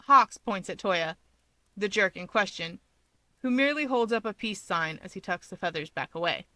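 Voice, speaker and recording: Bright, animated woman story narrator. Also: mid-range voice, normal speed, dry recording. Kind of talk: expressive scripted fiction narration.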